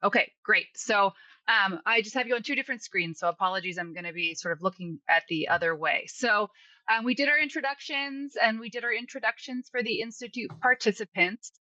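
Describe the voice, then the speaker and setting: A woman's voice: medium pitch, brisk, sharp tone, no echo. A woman hosting an online class, chatty and a little apologetic as she moderates over a video call. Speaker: session host and instructor. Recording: muffled video-call audio.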